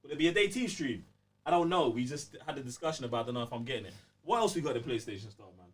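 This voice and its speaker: A man's voice: mid-range voice, expressive, rapid-fire, far from the mic. A man with a London accent chatting casually as a podcast co-host, blunt, slangy and exclamatory. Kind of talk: casual gaming podcast banter.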